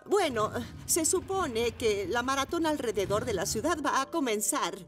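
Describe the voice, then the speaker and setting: A woman's voice: on the high side, expressive, normal speed, a bit bright, a little hiss or hum. A woman voicing a kindly, flustered adult schoolteacher motorbike in a children's cartoon, with a hesitant manner. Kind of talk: animated children's cartoon dub.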